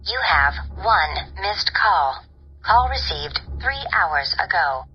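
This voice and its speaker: A woman's voice: lowish voice, animated, slow pace, soft background music. A woman voicing an impersonal automated voicemail announcer in a video game, heard as dull telephone playback. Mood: neutral. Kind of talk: recorded phone system announcement in a game.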